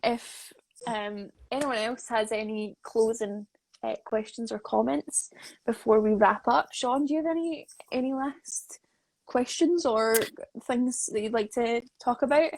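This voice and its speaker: A woman's voice: on the high side, measured pace, darkish tone, slight background noise, rough recording. A woman in her twenties hosting a casual livestream interview in a jokey, informal, chatty way. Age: 20s. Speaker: host, associate producer. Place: live video call.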